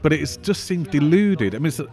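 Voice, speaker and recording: A man's voice: a little high, animated, a little fast, darkish tone, soft background music. A male podcast host chatting wryly about a film, then reading the show's closing credits. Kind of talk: casual film chat and scripted outro.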